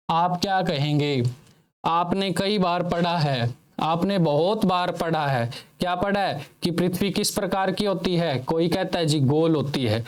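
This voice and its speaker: A man teaching a lesson to students in speech, repeating his points and asking them questions that he answers himself. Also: high voice. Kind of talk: classroom lecture for students.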